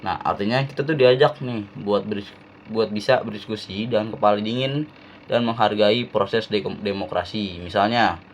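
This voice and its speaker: Young guy from jakarta talking casually for a class video, fairly low voice, lots of fillers, bit of hiss behind. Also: lo-fi sound. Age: young adult. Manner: relaxed slangy, frequent fillers. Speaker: university student. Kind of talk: casual class assignment talk.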